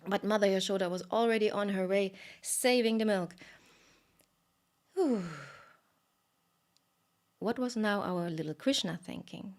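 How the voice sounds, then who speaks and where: A woman telling a story live in an expressive way, with fillers and dramatic pauses. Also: medium pitch.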